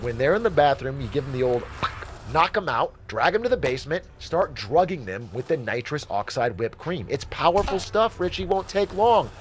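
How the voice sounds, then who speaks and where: A man's voice: fairly high pitch, sing-song, moderate pace, a little hiss or hum. An actor playing a shady, slick finance guru in a video game, with a glib, showy salesman's delivery. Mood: conspiratorial, gleeful. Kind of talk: game voice acting, hosted advice show patter.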